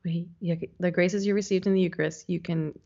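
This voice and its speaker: A woman's voice: lowish voice, fairly even intonation, fairly quick, warm tone, lo-fi sound. A woman co-hosting a podcast in casual chat, bubbly, laughing easily and saying "like" often. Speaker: podcast co-host.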